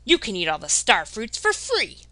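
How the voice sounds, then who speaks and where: A woman voicing a greedy, bossy woman in a folktale, loud, grasping and emphatic. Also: medium pitch, wildly swooping pitch, normal speed, no echo, pitched above the performer's own voice. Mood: greedy, triumphant. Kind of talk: folktale storytelling.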